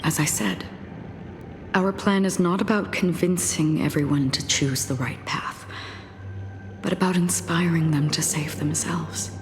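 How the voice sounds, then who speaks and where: A woman playing a calm, enigmatic guide of death in a game cutscene, speaking solemnly and softly with breathy murmurs. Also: on the low side, slight background noise. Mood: grave, resolute. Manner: solemn, measured, soft-spoken with breathy murmurs. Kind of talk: dramatic game cutscene acting.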